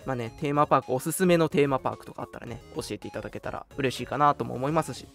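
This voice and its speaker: A young man hosting a casual live radio chat, talking politely and chattily with soft hedges between announcements. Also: medium pitch, rapid-fire, vocal fry, faint music behind. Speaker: radio show host.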